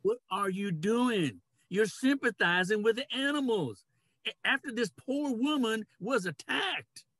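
Domineering leader of men, played by an older man, high-pitched and declamatory, dry sound, stage reading. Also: expressive, measured pace, fairly bright tone, dry recording, heavily acted. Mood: urgent, accusing. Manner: declamatory, commanding. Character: domineering man who proclaims human rule over animals. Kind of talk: dramatic play reading. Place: cluttered home room, video call. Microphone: computer mic on video call.

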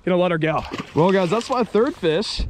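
A young man filming his own fishing trip at an outdoor pond on a body-worn camera, chatting excitedly to viewers and laughing often. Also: high-pitched, moderate pace, a little hiss or hum. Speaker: fishing vlogger. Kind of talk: casual vlog commentary. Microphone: body-worn action camera.

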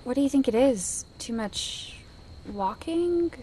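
An actress playing a gentle, wistful young traveller in an audio drama, hesitant and soft-hearted. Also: female voice, high voice, lively intonation, takes it slowly, a little hiss or hum. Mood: concerned.

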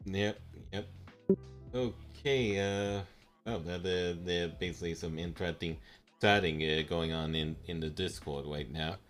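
A young man with a very deep, low voice chats through a live game stream, stumbling over fillers and restarting words as he goes. Faint music plays under his desk-mic sound in a home room. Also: fairly even intonation. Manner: halting, many fillers and repeated words.

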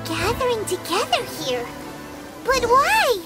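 A woman voicing a tiny floating fairy companion with a squeaky voice in a video game. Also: big pitch swings, slow pace, music in the background, exaggerated voice. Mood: curious.

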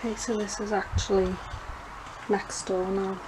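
A woman's voice: mid-range voice, little pitch movement, a little slow. Nervous woman exploring, noisy camera audio. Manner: nervous, hushed exclaiming in short remarks. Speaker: urban explorer vlogger. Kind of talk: casual commentary while exploring alone. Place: inside a derelict empty house. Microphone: handheld camera microphone.

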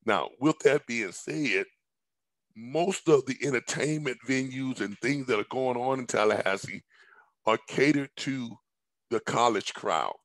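A hoarse middle-aged man giving a rambling business pitch over a headset on a video call from home, with frequent ums, repeated words and coughs. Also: unhurried, a bit bright, no echo, poor audio. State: hoarse, recovering from illness. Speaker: business presenter. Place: indoors at home, large room with staircase.

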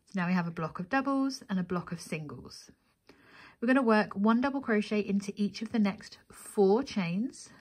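A woman's voice: mid-range voice, lively intonation, normal speed, a little mellow. A woman narrating a step-by-step craft tutorial as the instructor, methodical and counting steps aloud.

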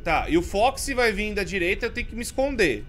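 A Brazilian man in his thirties, a streamer giving jumpy commentary as he plays a horror game, swinging from mumbles to yells. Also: sits high, sing-song, brisk, slight background noise. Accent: Brazilian Portuguese. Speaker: gaming streamer. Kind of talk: let's play commentary. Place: home streaming room with colored lights.

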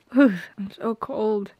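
Soft, slow-talking young woman, ASMR roleplay. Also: mid-range voice, breathy edge, no room sound. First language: Polish. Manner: soft-spoken, hesitant, often dropping into whispers. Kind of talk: intimate ASMR roleplay acting. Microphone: close microphone.

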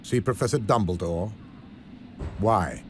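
An actor voicing a stern, cold wizard schoolmaster in a video game, with clipped, disdainful delivery. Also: male voice, on the low side, measured pace, a little hiss or hum.

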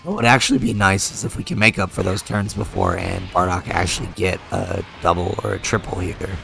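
A young man with a low, gravelly voice talks through a mobile game as he plays it, thinking aloud in a relaxed way. He is slowly losing his voice from being a little sick, and game music plays faintly behind him. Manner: relaxed, thinks aloud with fillers while playing. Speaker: mobile game video creator. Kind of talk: casual gameplay commentary.

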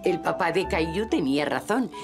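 A gentle female narrator played by an actress tells a children's cartoon story in Castilian Spanish. Her voice is low and lively in melody, with music clearly behind it. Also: moderate pace, lightly acted. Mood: gentle storytelling.

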